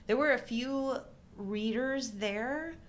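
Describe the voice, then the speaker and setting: A middle-aged woman co-hosting a casual live show, explaining things in a halting, hesitant way. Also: fairly high pitch. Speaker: co-host.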